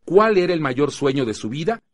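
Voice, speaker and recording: A man with a Mexican accent playing a patient teacher who narrates a radio drama in a measured, didactic way. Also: on the high side, big pitch swings. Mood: firm, explanatory. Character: patient male teacher narrating his teenage students' stories.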